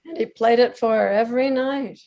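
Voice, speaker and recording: An actress playing a wry, protective, gently firm grandmother in a staged play reading. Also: fairly high pitch, a little fast, natural voice.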